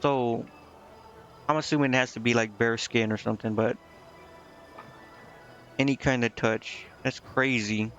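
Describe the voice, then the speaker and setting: A man with a mid-range voice commentates a game in a loose, casual streamer style. He talks informally and haltingly, reacting as he goes. The sound is slightly lo-fi and muffled, with game music playing behind him. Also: medium pitch, fairly even intonation, fairly bright tone. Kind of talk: casual let's-play commentary, reading dialogue aloud. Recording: dull lo-fi mic, music behind.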